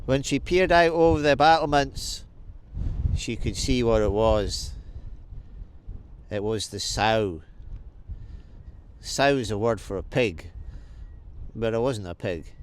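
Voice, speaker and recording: A man's voice: mid-range voice, darkish tone. A man telling a story for children, stopping to ask and answer questions. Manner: explains as he tells, asks and answers questions.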